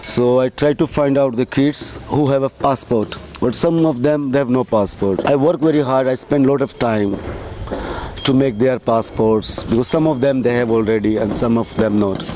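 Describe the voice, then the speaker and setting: A man speaks as a group leader, telling a story in a documentary interview. His voice is average in pitch, with distinct noise in the background. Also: moderate pace, a little mellow. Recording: noisy setting with background rumble.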